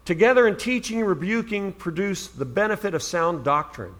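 A middle-aged man from Ohio delivers a sermon as a pastor. His voice sits high and bright, and he speaks earnestly, lifting his pitch to stress the words that matter. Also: animated, a little fast. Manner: earnest, emphatic rises on key words.

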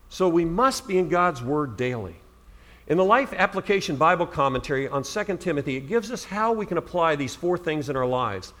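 A middle-aged man from Ohio delivers a sermon as a pastor. His voice sits high and bright, and he speaks earnestly, lifting his pitch to stress the words that matter. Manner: earnest, emphatic rises on key words.

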